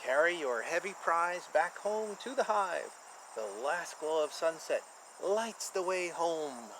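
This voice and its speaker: A man reading a children's picture book aloud and explaining it informally in simple terms, with an animated manner. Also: a little high, slow, noisy background.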